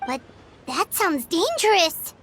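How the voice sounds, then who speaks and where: A tiny fairy sidekick in an anime game. The voice is extremely high, piercingly bright and childlike, bouncing around excitably. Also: female voice, slow, a little hiss or hum, exaggerated voice. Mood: worried. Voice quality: squeaky, childlike.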